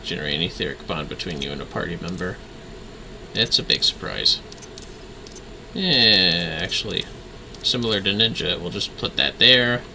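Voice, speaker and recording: A man's voice: low-pitched, animated, moderate pace, gravelly, long pauses, sharp tone, a little hiss or hum, rough recording. A man livestreaming a game with casual, playful commentary, often talking to himself and muttering skill names.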